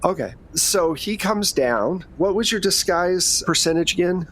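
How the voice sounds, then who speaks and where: A man's voice: high voice, lively intonation, measured pace, fairly bright tone, slight background noise, rough recording. A man narrating a tabletop game as game master in a casual, explanatory, conversational way.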